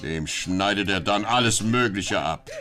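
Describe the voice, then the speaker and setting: A man's voice: lowish voice, unhurried, crowd noise, lightly acted. A man playing a sly, teasing storyteller who narrates lurid robber tales like a pulp radio serial. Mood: gleefully ominous. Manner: teasing, theatrical.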